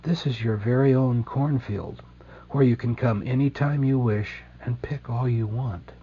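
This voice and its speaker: A man leading a guided meditation in a slow, soothing, gentle way, leaving deliberate pauses between phrases. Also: mid-range voice, lo-fi sound, slight background noise.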